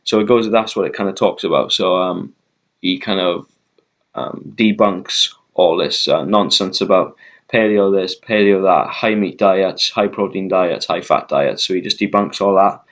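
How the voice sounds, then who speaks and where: Welsh man, slow rambling vlog talk. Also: low-pitched. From Wales. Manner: rambling, many ums and uhs, deliberately slowed down. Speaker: vegan YouTuber. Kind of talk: casual talk to camera.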